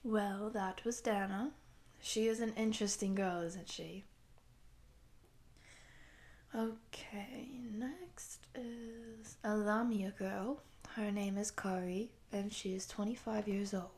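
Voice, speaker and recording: A woman playing a courteous exchange program coordinator, speaking to the listener in an orderly, measured way in an audio roleplay. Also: medium pitch, steady tone, a little mellow. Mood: calm, courteous.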